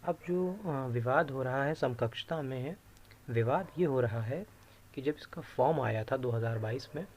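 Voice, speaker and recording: A man's voice: medium pitch, normal speed, darkish tone, slight background noise. A man presenting an informal screen-share explainer, explaining patiently by repeating and rephrasing key points. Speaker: YouTube presenter explaining recruitment rules.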